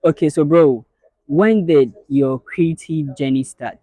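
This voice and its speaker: A man's voice: on the high side, slow, dark tone, no room sound. A young man hosting a podcast in a casual interview, friendly and filling gaps with yeahs and okays. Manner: friendly, many fillers like yeah and okay. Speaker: podcast host.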